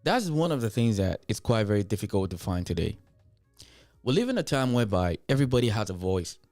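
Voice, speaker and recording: An emphatic Nigerian man hosts a reaction commentary, his pitch swinging widely as he presses his points and says them twice for weight. The sound is dry and close, with faint music underneath. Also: sing-song, a little fast, a little mellow, no echo. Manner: emphatic, repeats himself to drive points home. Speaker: commentary show host. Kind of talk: reaction commentary, addressing viewers.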